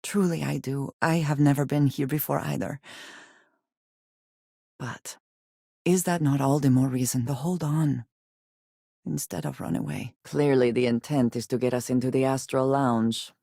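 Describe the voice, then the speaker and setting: A woman voicing a guarded, devout space adventurer and loyal companion in a video game, speaking in formal, measured diction. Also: a bass voice, steady tone, gravelly, mellow, no echo, studio quality, full character voice. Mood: earnest, tender. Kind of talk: video game character dialogue.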